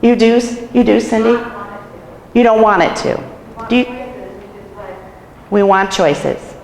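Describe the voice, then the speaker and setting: Hoarse, gravelly woman leading staff training. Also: on the high side, slow, a little mellow. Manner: chatty, asks the room questions. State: hoarse from a cold. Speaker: nursing home administrator. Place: meeting room in a care home. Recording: echoey room with long reverb.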